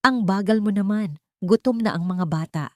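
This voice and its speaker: A woman's voice: mid-range voice, moderate pace, dry recording, clean studio sound, natural voice. A woman voicing a cold, condescending rich fiancée who talks in sugary, manipulative phrases, mixing English and Tagalog, in an audio drama. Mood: sharp, impatient.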